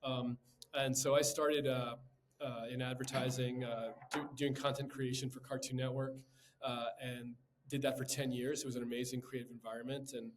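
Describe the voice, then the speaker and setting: A man of middle pitch speaks as an interview guest in a flat, even tone. He keeps it casual and dry as he tells his career story. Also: moderate pace, slight background noise. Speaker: podcast guest, creative executive.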